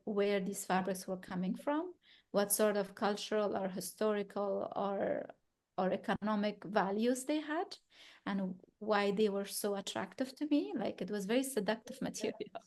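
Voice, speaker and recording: A woman in her thirties from Afghanistan, speaking thoughtfully about her work at a gallery talk, often restarting and searching for words. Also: medium pitch. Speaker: exhibiting artist.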